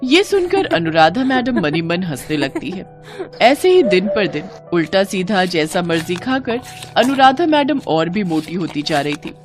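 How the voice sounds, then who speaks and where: A woman narrating a scripted animated moral story as storyteller, in an expressive, dramatized way. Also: on the low side, unhurried, music in the background.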